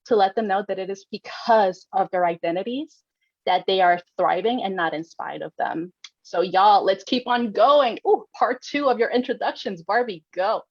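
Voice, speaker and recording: A woman host speaks in an effusive, expressive way, upbeat and casual, mixing bits of Spanish into English. The sound is dry and close, slightly muffled and lo-fi. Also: medium pitch, no echo. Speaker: host, nonprofit representative. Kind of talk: upbeat casual panel hosting.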